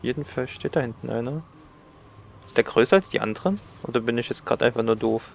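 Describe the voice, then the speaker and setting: A man's voice: medium pitch, animated, quick pace, creaky, slight background noise. A man with a cold giving casual, chatty commentary while playing a game. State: has a cold. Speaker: let's play gamer.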